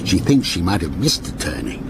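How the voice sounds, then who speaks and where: An actor playing a courteous, softly insistent storyteller and train passenger in a radio ghost story, with a measured, solicitous way of talking. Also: male voice, sits low, big pitch swings, vocal fry, very noisy, heavily acted. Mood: atmospheric, suspenseful.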